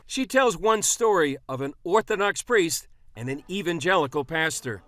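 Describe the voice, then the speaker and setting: A man narrates a television news report in a measured, even voice. His pitch sits slightly high. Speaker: news correspondent.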